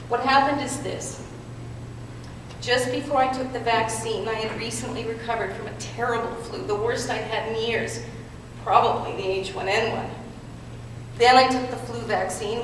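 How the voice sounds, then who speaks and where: A woman giving a prepared, earnest speech at an awards ceremony, telling her own story in a measured way. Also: on the high side. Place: large hall with audience. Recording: echoey hall from far off, background noise.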